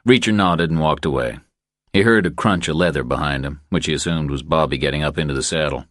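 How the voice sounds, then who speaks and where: A man's voice: rumbling low, fast, fairly bright tone, no echo, studio quality. A man reading a crime thriller audiobook as a scripted narration.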